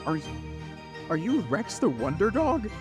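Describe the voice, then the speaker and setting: A man's voice: high-pitched, huge pitch swings. Guy playing a confused skeptical young office dude, exasperated stammery delivery, faint music under. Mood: bewildered. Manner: halting, exasperated. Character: bewildered skeptical man in his twenties, junior office worker. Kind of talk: naturalistic audio play acting.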